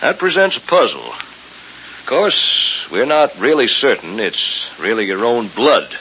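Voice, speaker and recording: An actor playing a sceptical, paternal middle-aged family doctor, probing and authoritative, in old-time radio mystery style. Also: male voice, mid-range voice, sing-song, slow pace, pushed and bright, heavily acted. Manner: probing, authoritative, clinical, emphatic. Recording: hissy, dull old radio recording.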